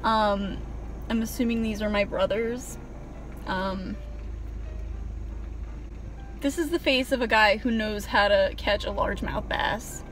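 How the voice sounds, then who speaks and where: A woman's voice: medium pitch, fairly even intonation, slow pace, pauses often, a bit bright, faint music behind, poor audio. A young woman telling a self-mocking, sarcastic story in a parked car, rambling with lots of ums, recorded by a camera on the dashboard. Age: young adult. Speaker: vlogger. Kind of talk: casual storytime monologue.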